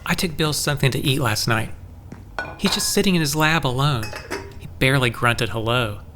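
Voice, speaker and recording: A man's voice: medium pitch, moderate pace, background noise, lightly acted. A man playing an earnest, troubled, lovelorn farm resident in an audio drama, speaking confidingly. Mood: downcast. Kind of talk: scripted audio drama acting.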